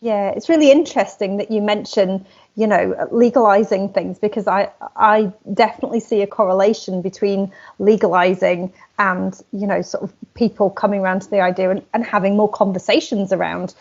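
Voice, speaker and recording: A woman's voice: mid-range voice, steady tone, a little fast, darkish tone, highs cut off. A woman hosting a conversational interview. She is affirming and hesitant, with many fillers. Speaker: interview host.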